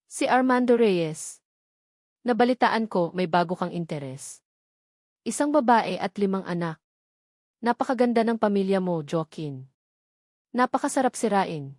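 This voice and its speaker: A woman's voice: mid-range voice, expressive, measured pace, pauses often, darkish tone, no room sound, clean studio sound, close to an everyday voice. A woman narrator voicing a cold, threatening male business rival in a romance story. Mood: cold menace.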